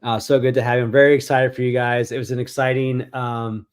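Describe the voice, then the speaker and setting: A man's voice: mid-range voice, little pitch movement, fast, smooth. A man hosting an interview show, speaking with enthusiasm and often repeating himself. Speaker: host.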